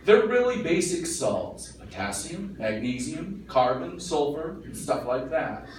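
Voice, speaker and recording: A man's voice: on the high side, very animated, a little slow. An actor playing an exasperated, boastful father in an old-time radio comedy, lecturing in a blustery, easily irritated manner. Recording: echoey room, distant mic, noisy.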